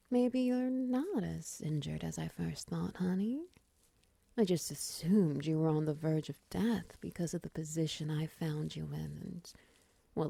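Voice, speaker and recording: A woman playing a friendly, teasing half-spider monster in an intimate audio roleplay, soft-spoken, breathy and often close to a whisper. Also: lowish voice, no echo, heavily acted. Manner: gentle, teasing, slow murmurs with soft chuckles.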